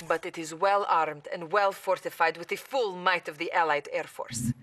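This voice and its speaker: An actress playing an earnest, loyal Soviet military officer who gives formal game mission briefings in a Russian accent. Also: female voice, on the low side, background noise, lightly acted.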